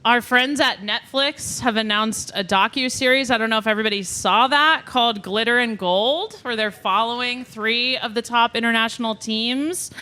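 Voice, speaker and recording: Bright-voiced woman co-hosting a podcast. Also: on the high side, moderate pace, few pauses. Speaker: podcast co-host. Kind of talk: casual live podcast hosting. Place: live show before an audience.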